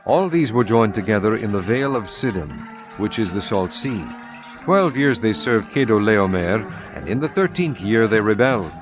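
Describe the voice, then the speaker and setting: A man narrates scripture in a low, steady voice with slow, measured solemnity. Clear music plays under his reading.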